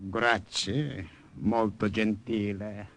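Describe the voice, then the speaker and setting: An actor playing a wry American spy posing as an Italian, hesitant and stammering, who also narrates, in a 1940s radio drama. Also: male voice, fairly low pitch, level tone, slow. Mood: nervous.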